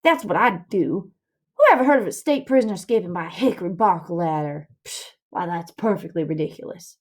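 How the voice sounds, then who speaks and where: A woman's voice: on the low side, animated, brisk. A woman voicing a bossy, grandiose boy schemer in a storybook reading, lecturing scornfully in rustic dialect. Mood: exasperated, scornful.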